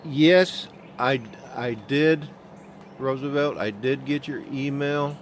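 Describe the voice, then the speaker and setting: A man with an Oklahoma accent chatting on a live stream from his handheld phone outdoors, talking in a slow, halting monotone and answering viewers' comments. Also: medium pitch, a little hiss or hum. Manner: slow, drawling, halting with many fillers. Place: outdoors at sunrise.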